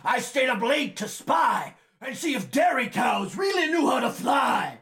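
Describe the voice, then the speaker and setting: A woman voicing an excitable, loud man with a gravelly voice in a tabletop game. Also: sits high, a little slow, pitched above the performer's own voice, heavily acted. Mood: excited. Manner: shouting eagerly.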